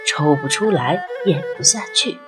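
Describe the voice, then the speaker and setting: A woman a breathy voice and reads literary narration in a measured, expressive, dramatic way, as an audiobook narrator. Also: sits low. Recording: music under the voice. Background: background music.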